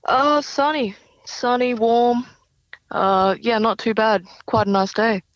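Young woman, singer guest, slow hesitant speech, dull remote line. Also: a little high, fairly even intonation, no echo. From Australia. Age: young adult. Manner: soft-spoken, hesitant, with many fillers. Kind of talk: radio interview.

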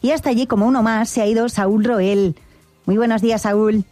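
A woman's voice: fairly high pitch, fairly even intonation, a little fast, dark tone, no echo, soft background music. A woman hosting a live radio show the studio, friendly and playful with her callers. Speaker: radio host.

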